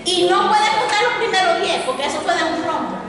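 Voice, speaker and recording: A woman's voice: extremely high pitch, fairly quick. A middle-aged woman teaching a citizenship class in a classroom with a handheld mic, switching between Spanish and English and checking in with her students often. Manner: animated, repeats and checks with students. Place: classroom in front of a chalkboard. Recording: echoey room from far off, crowd around.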